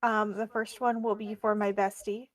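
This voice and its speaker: A woman talks casually as a player in a tabletop game. Her voice sits at a middle pitch and stays flat and even in tone. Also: normal speed, dry recording.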